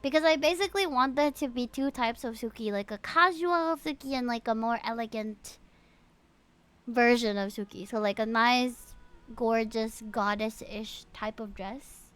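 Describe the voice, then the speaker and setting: A woman with a Filipino accent doing casual livestream game commentary in a gushing, excitable manner. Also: a little high, normal speed, clear, resonant tone. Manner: gushing, excitable, reads game dialogue aloud. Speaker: VTuber livestreamer. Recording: game music behind the voice. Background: game music.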